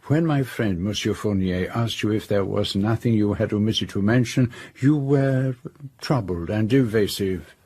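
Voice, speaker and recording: An actor playing a shrewd, courteous private detective in an audio drama, measured and gently probing. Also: male voice, lowish voice, breathy edge. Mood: persistent, shrewd.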